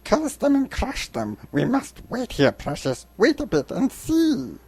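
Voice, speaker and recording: A man voicing a fearful, spiteful cave creature in a storybook reading, with a whispery hiss and halting, repetitive muttering in broken grammar. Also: extremely high pitch, huge pitch swings, darkish tone, breathy edge, voice pushed up, heavily acted. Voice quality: hissing, whispery.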